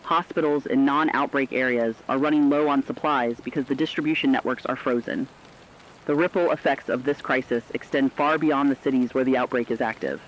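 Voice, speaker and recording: A man's voice: mid-range voice, a little hiss or hum, close to an everyday voice. Earnest radio co-anchor played by a man, muffled sound. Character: concerned, empathetic male radio co-anchor dwelling on human suffering. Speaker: news co-anchor. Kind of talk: scripted radio commentary.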